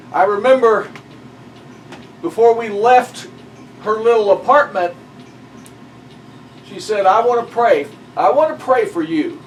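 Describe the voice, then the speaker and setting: A middle-aged man from southeast Missouri, a pastor telling stories in an unhurried, friendly way. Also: high voice. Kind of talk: casual conversation. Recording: distant mic with faint background noise.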